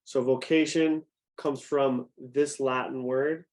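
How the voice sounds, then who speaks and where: Young male youth director, mid pitch, chatty lecturer who quizzes his audience. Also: fairly even intonation, slow pace, darkish tone. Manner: conversational, asks the audience questions. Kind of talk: interactive talk to a group.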